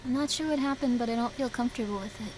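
A woman's voice: a little high, rapid-fire, background noise. A woman streamer giving casual reaction commentary while watching a horror video.

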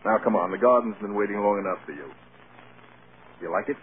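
A man's voice: on the low side, brisk, a little hiss or hum, close to an everyday voice. Man playing a sardonic movie star, tinny old radio. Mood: tender. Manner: wry, teasing. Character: fading male movie star, sardonic, charming, proud newlywed. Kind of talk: vintage American radio drama acting.

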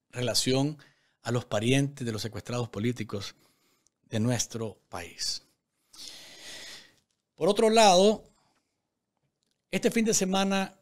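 Indignant news commentator man. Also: mid-range voice. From Nicaragua. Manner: indignant, emphatic, talks straight to viewers with many fillers. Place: small TV studio, indoors. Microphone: desk microphone on stand.

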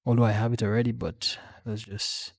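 A man hosting a casual software tutorial for a gaming channel, explaining step by step in an informal, halting, rambling way. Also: on the low side, little pitch movement.

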